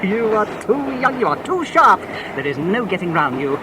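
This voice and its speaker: A man's voice: sits high, big pitch swings, normal speed, noisy background. An actor playing a scheming, falsely jovial clergyman in an audio drama, pompous and chuckling, given to tagging remarks with "what?". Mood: jovial, wheedling.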